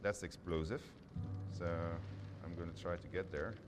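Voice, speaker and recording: A man's voice: deep voice, fairly even intonation, normal speed. A man giving casual live commentary on a game demo, informally thinking aloud as he plays. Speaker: game developer presenting a gameplay demo. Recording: loud game music behind the voice. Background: game music and sound effects.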